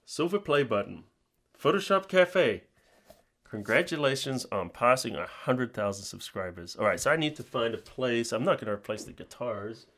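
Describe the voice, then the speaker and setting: A man's voice: medium pitch, sing-song, moderate pace, fairly bright tone. Casual New man talking to viewers. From New Zealand. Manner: informal, rambling, addressing viewers directly. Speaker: tutorial channel host.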